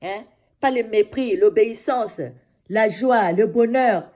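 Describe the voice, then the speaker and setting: Woman preacher, second-language speaker, higher pitch, mellow voice, informal sermon. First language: another mother tongue. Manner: repeats "mes frères et sœurs" and "hein" often; slows down for scripture reading.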